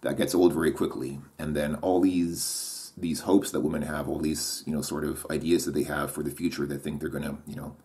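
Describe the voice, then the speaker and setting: A man with a deep voice thinking aloud in a solo monologue. He hesitates, restarts his sentences and questions himself as he goes. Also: little pitch movement, fairly quick, few pauses, darkish tone.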